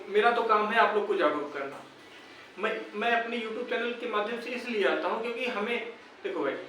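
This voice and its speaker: A man's voice: sits high, a bit bright. A young man with a North Indian accent from Uttar Pradesh, speaking informally to viewers in an earnest, exhorting, repetitive way. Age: young adult. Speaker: YouTuber addressing exam candidates. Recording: very echoey room, distant mic, faint noise.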